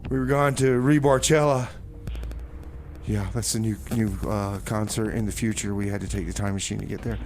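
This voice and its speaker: A man's voice: fairly low pitch, steady tone, normal speed, darkish tone, slight background noise. A man hosting a community radio music show, loose and chatty, repeating himself as he introduces songs and banters. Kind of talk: casual music show presenting with comedy banter.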